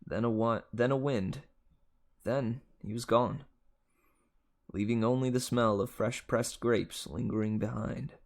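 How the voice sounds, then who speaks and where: A man narrates a storybook aloud. His voice has a noticeable creak, and he takes his time over the sentences at an unhurried pace. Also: mid-range voice, steady tone. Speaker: audiobook reader.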